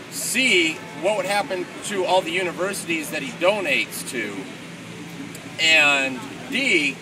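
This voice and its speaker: A man at an outdoor meeting challenges a speaker with pointed questions, in a loud, argumentative manner. His voice is a little high. He is heard from a distance, with clear outdoor noise behind him. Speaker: audience member asking questions. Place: outdoor public gathering.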